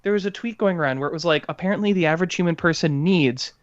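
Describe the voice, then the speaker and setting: A man's voice: fairly high pitch, fast talker. Young man chatting, slightly muffled recording. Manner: enthusiastic, informal. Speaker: podcast co-host. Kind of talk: casual music discussion.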